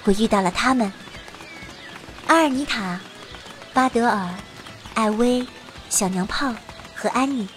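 A woman's voice: on the high side, unhurried, pauses often, soft background music, close to an everyday voice. A woman playing a sincere, hopeful young adventurer heroine in an audio drama, speaking earnestly. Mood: hopeful, resolute. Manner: earnest, heartfelt. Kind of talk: audio drama acting with narrated monologue.

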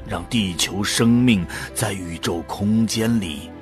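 A man acting in a radio drama as a solemn first-person narrator, a fading consciousness saying farewell in measured, drawn-out phrases. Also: on the low side. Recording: loud music over the voice. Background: loud music.

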